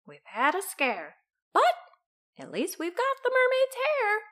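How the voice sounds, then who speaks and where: An excitable crook from a rhyming storybook, played by a woman. He schemes theatrically and exclaims everything in a high voice that leaps up and down. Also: measured pace, full character voice. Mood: relieved. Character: Hefty Hugh, scheming, excitable male thief. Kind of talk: storybook character voice in rhyming verse.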